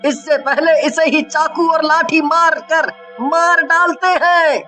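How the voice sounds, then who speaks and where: A man playing an excitable, fretful rustic villager with drawn-out exclamations and village dialect in a horror cartoon. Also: very high voice, soft background music, heavily acted. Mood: alarmed. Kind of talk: Hindi horror cartoon voice acting.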